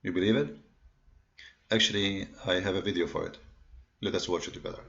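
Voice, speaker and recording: A man's voice: deep voice, fairly even intonation, fairly quick, vocal fry, a bit bright, muffled recording. A man whose first language is Arabic, speaking English with an Arabic accent. He gives an emphatic lecture to viewers, counting off his points and restarting often with "uh". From Middle East. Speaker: online commentator on religion. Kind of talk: lecture-style talk to viewers.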